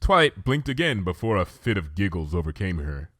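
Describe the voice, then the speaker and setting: A man's voice: deep voice, sing-song, a little fast, a bit bright, no echo, studio quality. A man reading scripted story narration for a fan fiction.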